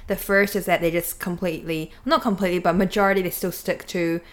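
A young woman speaks in a slightly low voice as a podcast co-host. She explains her ideas casually and a little tentatively, feeling her way through each point. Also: fairly even intonation, fast. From New Zealand, grew up there. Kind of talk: casual chat.

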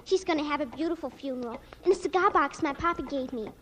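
A woman's voice: sits high, fast talker, fairly bright tone. A female performer playing a chatty, morbid, earnest little girl in 1940s radio style, precocious and matter-of-fact. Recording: noisy old radio recording.

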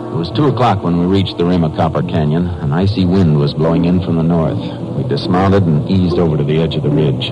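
An actor playing a blunt, level-headed Texas Ranger who narrates his case in 1950s radio drama style. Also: rumbling low. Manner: blunt, authoritative, clipped. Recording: noisy old 1950s radio recording.

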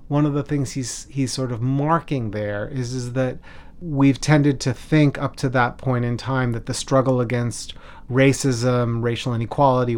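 A man speaking as a scholar in an interview, explaining history in a measured, emphatic way with deliberate lists. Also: medium pitch, darkish tone. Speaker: scholar, expert commentator.

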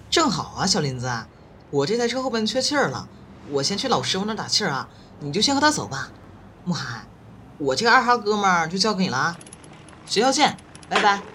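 A woman's voice: medium pitch, fairly bright tone, a little hiss or hum. A woman voicing a cheeky, boastful college roommate with a teasing, chatty manner in a campus audio drama. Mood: boastful, playful. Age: young adult. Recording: music playing behind the voice.

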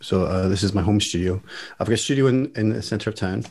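Low-voiced man chatting playfully. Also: quick pace, a little mellow. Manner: jokey, self-mocking, playful. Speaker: podcast guest, musician and marketer. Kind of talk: casual chat. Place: home music studio. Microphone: studio microphone with headphones.

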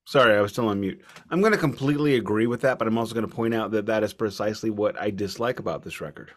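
A man's voice: lowish voice, quick pace. A man co-hosting a casual music discussion, talking in a wry, emphatic and discursive way. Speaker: album review podcast co-host.

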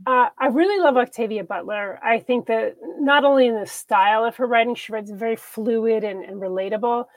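A woman's voice: on the high side, brisk, dry recording. A woman author being interviewed, speaking thoughtfully and earnestly in casual conversation. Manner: thoughtful, earnest, laughs easily. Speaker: author guest.

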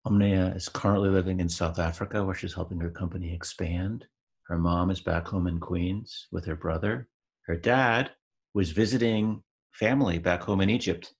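A podcast host with a very deep, husky man's voice. He tells the story in a calm, measured way before he turns to questions. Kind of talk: scripted narration and interview questions.

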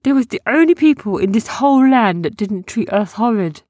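A woman voicing a small, excitable, easily spooked male creature who speaks in childlike, ungrammatical phrases. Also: fairly high pitch, brisk, dry recording, clean studio sound, voice pushed up. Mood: earnest.

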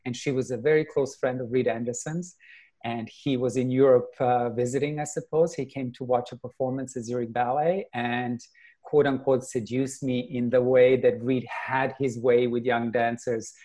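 A middle-aged man from Serbia, a ballet dancer telling his life story in an interview, thoughtful and unhurried. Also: mid-range voice, steady tone, mellow.

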